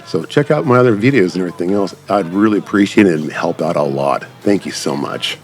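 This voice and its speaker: Casting: low-voiced male gaming host, chatty and rambling, with game music behind him. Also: lively intonation, fast talker. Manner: rambling, addresses viewers directly. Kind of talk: casual gameplay commentary.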